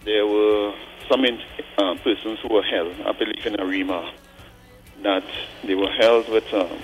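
A man in his forties calling in to a radio show, thinking aloud earnestly with frequent pauses and tag questions like "right?". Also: lowish voice, fairly even intonation, faint music behind, poor audio. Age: 40s. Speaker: caller. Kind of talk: call-in radio commentary.

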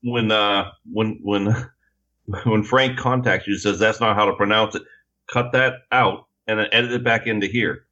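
A man co-hosting a casual podcast chat, joking around and stumbling over repeated words. His voice is fairly low. The recording sounds dull and lo-fi. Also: fairly even intonation, normal speed, pushed and bright.

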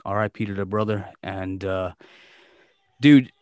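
A man's voice: deep voice, a little slow, a little mellow, a little hiss or hum. A man hosting his own podcast, chatting in a loose, joking way with plenty of swearing. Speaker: podcast host. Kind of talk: casual, profane podcast chat.

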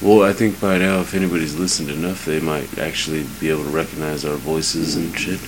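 Male co-host, very low voice, rambling profane chat, hissy home recording. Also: fairly even intonation, brisk. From Southern California. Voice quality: deep voice. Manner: rambling and profane, with many restarts. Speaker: podcast co-host. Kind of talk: casual chat. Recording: noisy home podcast recording.

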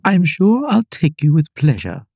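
A woman plays a contrary, vague queen from a storybook. The voice is husky and mellow, swinging widely in pitch, with a lofty matter-of-fact way of laying down rules. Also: huge pitch swings, unhurried, no echo, clean studio sound, exaggerated voice. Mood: vague, sighing.

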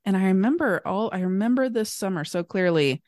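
A woman's voice: medium pitch, expressive, brisk, a little mellow, no echo. A woman podcast host from Kentucky, chatting casually with a guest and laughing often, her voice a little scratchy from allergies. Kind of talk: casual interview chat.

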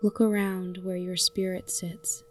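A woman narrating a scripted guided meditation in a gentle, soothing manner, leaving long pauses between short phrases. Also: on the low side, level tone, distant mic, noisy background.

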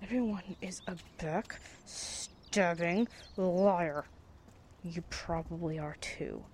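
A woman's voice: fairly low pitch, slow, darkish tone, a little hiss or hum. A young woman playing a sarcastic, foul-mouthed, distrustful student in an amateur radio drama, with a blunt, casual manner. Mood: irritated, sarcastic.

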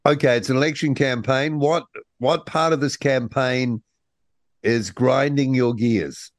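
A man's voice: mid-range voice, unhurried, dry recording, clean studio sound. A man hosting a radio talk show, jokey and playful, who acts out other people's voices when he tells a story. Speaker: radio host.

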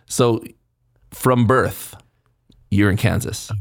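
Gravelly low-voiced male interviewer, dry sound. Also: slow pace, no room sound. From Hawaii. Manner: short prompting questions, frequent backchannel. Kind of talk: casual interview conversation.

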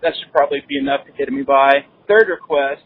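A man's voice: medium pitch, quick pace, breathy edge. A man leaving a joking voicemail for a podcast, listing playful requests. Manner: jokey, making playful requests. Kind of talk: recorded voicemail message. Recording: tinny phone line.

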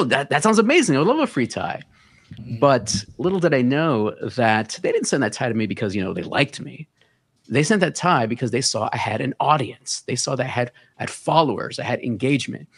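A man. He talks in a loose, easygoing way as a podcast guest and pauses on plenty of fillers as he tells his story. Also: mid-range voice, vocal fry, slightly rough. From the Bronx, New York. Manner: relaxed, storytelling with many filler words. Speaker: guest, content creator. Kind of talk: casual podcast interview.

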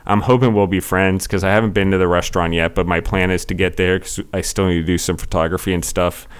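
A young man hosts a laid-back podcast chat, talking in a loose, rambling way full of fillers. His voice sits very low and stays fairly flat in pitch. It also comes across smooth and clear. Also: fast. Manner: chatty, rambling with many fillers. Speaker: podcast host. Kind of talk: casual interview.

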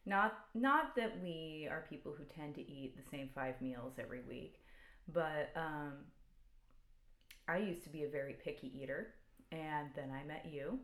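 Woman co-host, very low voice, hesitant and thoughtful, echoey room. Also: unhurried, a bit bright. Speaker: podcast co-host. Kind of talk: casual podcast chat.

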